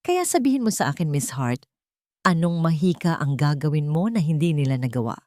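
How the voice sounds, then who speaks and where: A woman plays a billionaire father in an audiobook drama, worn out and embittered. He gives orders in a cold, emotionless way, in a close, dry recording with lively swings of pitch. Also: a little fast, dry recording. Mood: bitter, challenging. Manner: cold, tired, emotionless, commanding. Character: cold, weary, bitter billionaire father of three.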